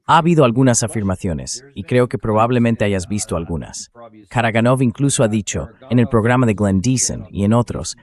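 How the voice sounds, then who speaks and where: A man's voice: on the low side, animated, brisk. A man hosting a political discussion in a synthetic voice-over, talking in a conversational, explanatory way. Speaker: interview host.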